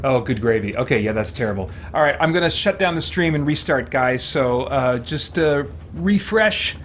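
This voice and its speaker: A man's voice: medium pitch, lively intonation, moderate pace, noisy background. A man who hosts a gaming channel, chatting casually to viewers as he streams. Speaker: video game streamer and channel host. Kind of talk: casual livestream chat.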